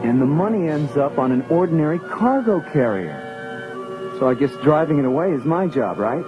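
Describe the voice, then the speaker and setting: An actor playing an easygoing young American rebel pilot with a casual, youthful, teasing manner in an audio drama. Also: male voice, fairly high pitch, very animated, moderate pace, muffled recording, over music. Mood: curious, eager.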